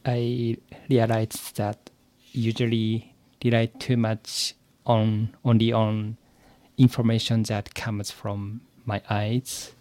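A man from Japan with a Japanese accent, answering interview questions as an English learner, haltingly searching for words. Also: on the low side, little pitch movement, darkish tone. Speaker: podcast guest, English learner.

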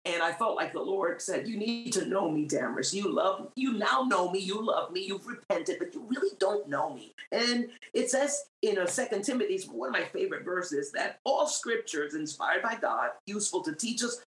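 A middle-aged woman of Puerto Rican background tells her faith story as a podcast guest. She speaks earnestly and presses her points with rising emphasis. Her voice sits at an average pitch and carries a roomy echo. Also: normal speed, few pauses. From Puerto Rican, raised in New York. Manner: earnest, grows emphatic and animated. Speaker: guest, singer. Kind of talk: faith testimony in a podcast interview. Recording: roomy, echoey sound.

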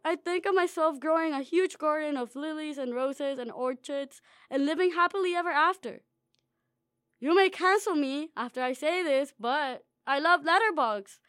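A woman's voice: extremely high pitch, a bit bright, no echo, clean studio sound. A young woman reading her own personal essay aloud in a wry, dreamy, self-mocking way. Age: young adult.

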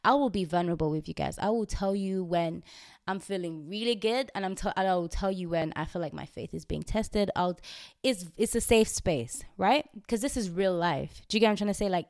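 A woman's voice: lowish voice, darkish tone, no room sound, clean studio sound. A young adult woman hosting her own podcast in a casual faith talk, chatty and candid with fillers and frequent laughs; English is not her first language. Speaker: podcast host. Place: indoors, recording herself on video.

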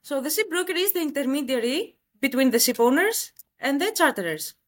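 A woman's voice: high-pitched, big pitch swings, brisk. A woman in her late twenties from Greece with a Greek accent, answering conversationally as an interview guest. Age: late 20s.

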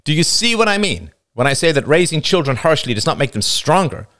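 A man acts out a male debater with a condescending, didactic streak. His delivery is emphatic and insistent, swinging up and down in pitch as he lectures, like a character in an audiobook. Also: sing-song, a little fast, fairly bright tone. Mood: lecturing, insistent.

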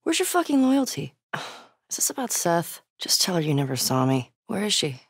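A woman's voice: lowish voice, very animated, moderate pace, slightly rough, no echo, studio quality, heavily acted. A woman playing a tough, sardonic, foul-mouthed young American survivor in a video game, blunt and naturalistic in delivery. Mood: tense, muttering. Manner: blunt, sweary.

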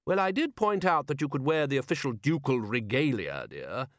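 A man's voice: medium pitch, big pitch swings, moderate pace, no echo, clean studio sound, voice pushed up, close to an everyday voice. A man voicing a bossy, upper-class wife who speaks fondly to her husband.

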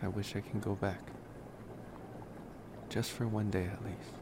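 Slow, gravelly, gentle vampire boyfriend, acted by a man. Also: lowish voice, flat delivery, noisy background. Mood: wistful. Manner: hesitant, rambling, soft-spoken with pauses and sighs. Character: gentle male college vampire boyfriend, wistful and affectionate. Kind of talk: ASMR roleplay, intimate personal attention.

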